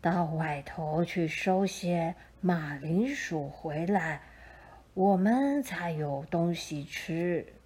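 A woman voicing a kindly old male saint in a gentle, hushed storybook manner. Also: fairly low pitch, very slow, slightly rough, voice pushed down, exaggerated voice.

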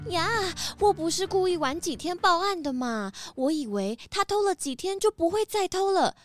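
A gushing, squeaky-high rich lady pelican. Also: expressive, normal speed, faint music behind. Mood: indignant, whiny. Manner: gushing, coy, dramatic. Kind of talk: children's audio drama acting.

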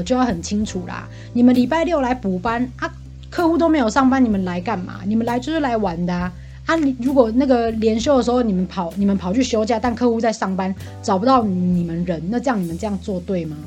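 A woman with a Taiwanese accent in casual, gossipy chat, venting about things in an animated way and mimicking the people she quotes. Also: a little high, fast, faint music behind. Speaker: podcast guest venting about work.

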